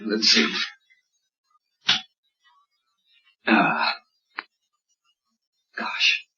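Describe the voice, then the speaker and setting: An actor playing an anxious, well-bred British husband who is easily alarmed and exasperated, in old radio drama style. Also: male voice, very slow. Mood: nervous, tense. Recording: dull, lightly noisy old radio recording.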